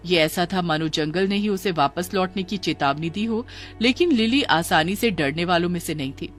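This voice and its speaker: A woman's voice: fairly low pitch, normal speed, soft background music. A woman narrating a scripted children's ghost story in a dramatic storytelling manner.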